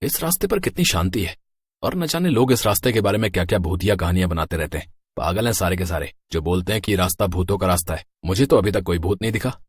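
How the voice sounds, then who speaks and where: Man acting a chatty, excitable biker, dry recording. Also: deep voice, fast talker, slightly rough, no echo. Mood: cocky muttering. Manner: colloquial, stammers when flustered. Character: chatty, cocky married male biker, easily terrified. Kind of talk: horror story character dialogue.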